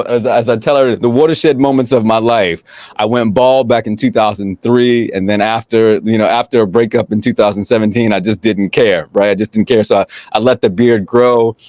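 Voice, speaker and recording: A man hosting an interview show, chatting in an animated, playful way, laughing often and repeating phrases. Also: mid-range voice, no echo. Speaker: podcast host. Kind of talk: casual interview chat.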